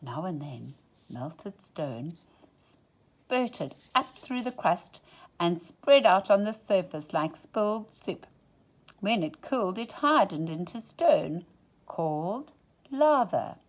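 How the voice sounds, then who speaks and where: Slow, very expressive woman storyteller. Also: fairly low pitch. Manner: dramatic pauses, dropping to hushed near-whispers for effect. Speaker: storyteller reading a children's picture book aloud. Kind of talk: expressive read-aloud storytelling.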